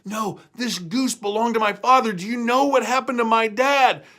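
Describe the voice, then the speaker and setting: A man's voice: on the high side, steady tone, normal speed, a bit bright, lightly acted. A man voicing an earnest young boy hero. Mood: insistent. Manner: earnest, insistent.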